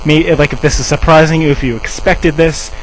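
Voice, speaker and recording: A man's voice: steady tone, fairly quick, lightly acted. A man playing an officious, defensive drug treatment center staffer in a rambling, insistent improvised prank phone call. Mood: matter-of-fact. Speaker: prank caller. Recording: noisy low-quality cell phone call, hum.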